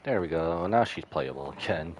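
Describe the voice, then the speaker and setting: Very low-voiced man, lively game streamer. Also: moderate pace, a little hiss or hum. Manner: muses aloud to himself. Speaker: livestreaming gamer. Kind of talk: casual game commentary. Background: game music.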